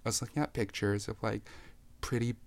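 A man's voice: on the low side, a little fast, gravelly. A man in his twenties, from Taiwan, talking candidly and with self-mocking humor as a podcast guest. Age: 20s. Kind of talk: casual interview chat.

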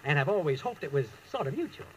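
An actor playing a genially pompous, broke small-time schemer in an old radio sitcom, with mock-formal patter. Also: male voice, a little high, big pitch swings, quick pace, muffled recording, a crowd in the background. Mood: courtly, pompous. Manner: smooth-talking, mock-formal. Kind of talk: 1940s-50s radio sitcom acting. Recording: old radio recording with faint noise.

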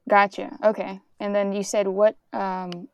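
A woman's voice: medium pitch, level tone, unhurried, a little mellow, smooth, no echo. A woman in her twenties co-hosting a podcast, upbeat and encouraging as she asks questions. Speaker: podcast co-host and interviewer. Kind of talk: casual conversational interview.

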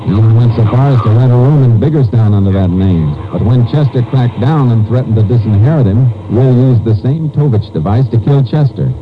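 An actor playing a determined, businesslike Texas Ranger in a 1950s radio drama, speaking in a clipped, authoritative way. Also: male voice, mid-range voice, animated, a little fast, few pauses, slight background noise, natural voice. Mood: reasoning, matter-of-fact. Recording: muffled 1950s radio recording, music behind.

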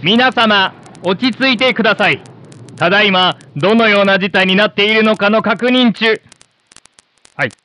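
An actor playing a formal, polished old-time television presenter addressing the nation in a radio drama. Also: male voice, sits high, normal speed, sharp tone, slight background noise. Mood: urgent. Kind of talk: broadcast announcing in radio drama.

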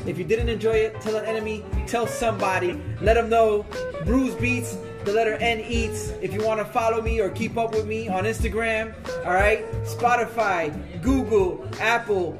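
Man, podcast host, upbeat sign-off, high-pitched, music in background. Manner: upbeat, promo-style wrap-up. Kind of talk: casual chat and show sign-off. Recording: music playing behind.

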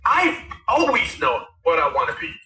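A raspy-voiced man in his thirties, a musician guest telling his story in a rambling, casual way with many fillers. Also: on the high side, huge pitch swings, moderate pace, bright, forceful tone, echoey room, distant mic, slight background noise. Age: 30s. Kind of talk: casual interview talk.

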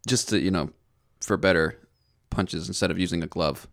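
A man's voice: low voice, moderate pace, creaky, a bit bright, dry recording, studio quality. A teenage boy, a guest on a casual podcast, teasing and laid-back as he chats.

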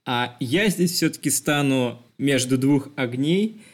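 A man's voice: medium pitch, unhurried. A man co-hosting a podcast, discussing a film casually in a reflective, explanatory way with asides to the listeners. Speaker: podcast co-host.

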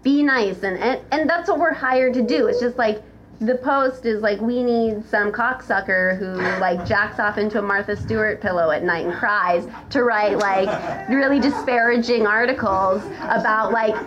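A woman's voice: on the high side, normal speed, over music. A woman chatting casually on a podcast, blunt and profane, peppering her talk with filler words. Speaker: podcast panelist, server. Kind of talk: casual chat. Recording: dull, clearly noisy audio.

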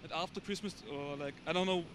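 A young Austrian man with a German accent, answering a street interview in halting English. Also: a little high, background noise. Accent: German-speaking Austrian. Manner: halting, searching for English words. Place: outdoors on a city street.

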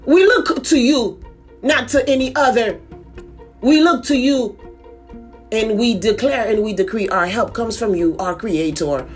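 A woman's voice: on the high side, a little slow, faint music behind. A woman leading a fervent intercessory prayer, declaiming in an impassioned way with repeated refrains. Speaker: prayer leader.

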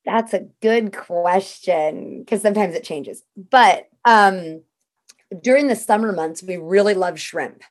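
A woman's voice: mid-range voice, slow pace. A woman guest in a casual interview, talking in a chatty, practical way and walking through things step by step.

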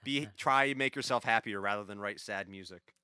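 A man's voice: lowish voice, brisk, pushed and bright, smooth, no echo, studio quality. A man co-hosting a music podcast, blunt and sarcastic, grumbling about what he dislikes. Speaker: podcast co-host. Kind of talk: casual chat.

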